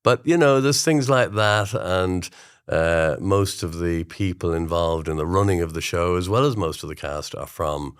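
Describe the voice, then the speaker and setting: A man's voice: deep voice, moderate pace, smooth, no room sound, clean studio sound. An older man with an Irish accent, chatting as an interview guest in a rambling, thoughtful, anecdotal way. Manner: rambling, thoughtful, often agreeing. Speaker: interview guest, amateur drama society member. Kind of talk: casual chat, anecdotal.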